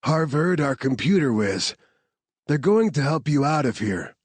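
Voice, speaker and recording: A man's voice: a little high, big pitch swings, measured pace, breathy edge, no room sound, voice pushed up, full character voice. A man voicing a tough male rescue team leader who gives blunt orders and short reassurances. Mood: reassuring. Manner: blunt, commanding.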